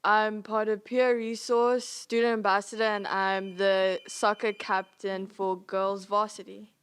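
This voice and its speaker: A woman's voice: medium pitch, little pitch movement, unhurried, clear, resonant tone. A teenage girl from Zimbabwe introducing herself in a matter-of-fact way.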